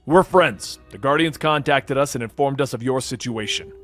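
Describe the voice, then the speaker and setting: A man voicing a steadfast, reasonable superhero in a measured, confident way for a comic-book story. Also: mid-range voice, a bit bright, faint music behind, lightly acted. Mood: reassuring.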